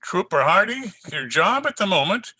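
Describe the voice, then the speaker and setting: A man's voice: sits high, very animated, normal speed, bright, forceful tone, slightly rough, heavily acted. A man playing a blustery, teasing older police sergeant with folksy, bossy talk in an old-time radio drama.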